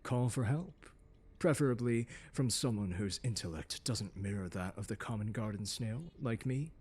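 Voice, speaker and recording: A man playing a haughty, condescending, seductive scholar in an ASMR audio roleplay, speaking in a pompous, teasing drawl with deliberate emphasis. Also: lowish voice, darkish tone, a little hiss or hum, close to an everyday voice. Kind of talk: ASMR roleplay, scripted lecture to the listener. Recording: long hall-like reverb.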